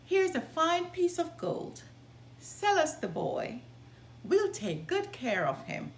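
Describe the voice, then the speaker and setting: A woman's voice: very high-pitched, very animated, slow, reverberant, voice pushed up, exaggerated voice. A woman voicing a scheming, greedy male stranger in a storybook, sly and persuasive. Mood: coaxing.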